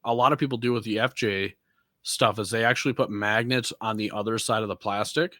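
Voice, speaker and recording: A man's voice: lowish voice, steady tone, brisk, sharp tone, no echo, studio quality. A man chatting casually as a podcast panelist about off-road vehicles, thinking aloud in a rambling way.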